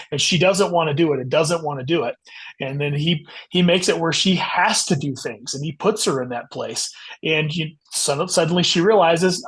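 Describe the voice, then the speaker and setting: A man's voice: on the high side, a bit bright, breathy edge. A man speaking as a guest author in an interview, explaining his writing in earnest, long conversational answers.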